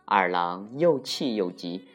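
A woman narrating a folktale for children as an expressive storyteller, deliberate and with dramatic emphasis. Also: very deep voice. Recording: muffled lo-fi recording with music.

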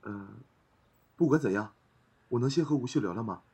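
A man's voice: mid-range voice, animated, moderate pace, dark tone, husky, lo-fi sound. A man playing a polite, easily worried young helper in a fantasy audio drama, earnest and questioning. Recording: noisy background with clicks.

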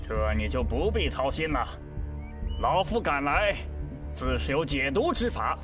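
A man's voice: mid-range voice, big pitch swings, a little slow, music in the background. A man playing a self-righteous, pompous old martial arts elder, villainous and indignant, in a wuxia radio drama. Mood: haughty, suspicious.

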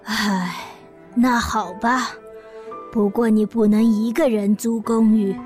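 A woman's voice: fairly high pitch, steady tone, slow pace, darkish tone, faint music behind. A woman playing an overprotective middle-aged mother, fretful and pleading, in an audio drama. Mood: pleading, reluctant.

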